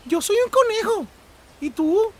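An actor voicing an excitable, bossy, nosy rabbit in a children's cartoon dub. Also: very high voice, measured pace. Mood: excited, threatening. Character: excitable rabbit who threatens to eat the bun.

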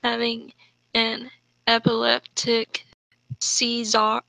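A woman's voice: fairly high pitch, monotone, drawn-out words, gravelly, pushed and bright, no echo, poor audio. A woman co-host reading a story aloud and chatting casually with friends. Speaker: co-host reading bad fan fiction aloud. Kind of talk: casual chat and read-aloud.

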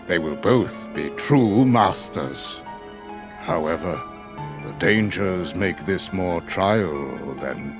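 Deep, solemn old wizard. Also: male voice. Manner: solemn, formal, deliberate. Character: wise old male wizard, grave, measured, authoritative. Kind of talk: scripted video game cutscene acting.

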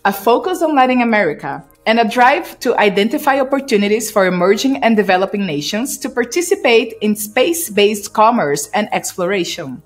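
A woman's voice: medium pitch, very animated, brisk, few pauses. A woman in her forties hosting a podcast interview, speaking eagerly with slightly unusual phrasing into a studio mic with a pop filter. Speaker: podcast host. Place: home studio, indoors. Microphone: studio mic with pop filter and headphones.

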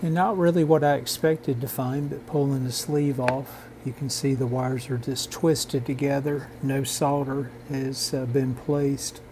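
A man narrating an instructional repair video in a halting, measured, technical way. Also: mid-range voice, fairly even intonation, background noise. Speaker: host of a radio repair channel.

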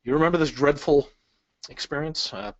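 A man's voice: a little high, normal speed, no room sound. A man in his thirties, born in Chicago and raised speaking Polish, teaching speed reading in a webinar over a webcam and asking the audience questions in a friendly way. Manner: friendly, asks the audience questions, light jokes. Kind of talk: webinar lesson with slides. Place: small indoor room. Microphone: webcam inset. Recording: dry, slightly dull sound.

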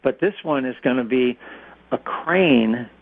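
A man's voice: medium pitch, expressive, measured pace. A middle-aged or older American man giving plain-spoken, folksy explanations as an interview guest over a phone line. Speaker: expert radio guest. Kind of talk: conversational interview answers. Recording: narrow, tinny phone line.

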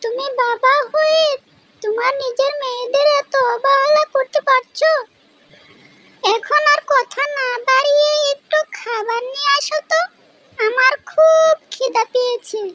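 A woman voicing a gentle, anxious young village wife and mother in a cartoon tale, with a pleading manner. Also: very high-pitched, a little slow, dry recording, dull audio, exaggerated voice. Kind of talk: cartoon fairy-tale voice acting.

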